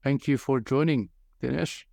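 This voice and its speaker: A man's voice: mid-range voice, normal speed, vocal fry, darkish tone, no room sound, studio quality. A man hosting an interview, talking in a rambling way full of fillers. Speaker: podcast host. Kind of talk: casual interview.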